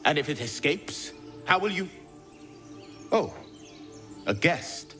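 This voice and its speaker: A man's voice: a little high, expressive, takes it slowly, bright, forceful tone, faint music behind, full character voice. A man playing a blunt, wary scout in a fantasy video game, speaking in terse, plain phrases. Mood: firm, wary. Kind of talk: video game dialogue acting.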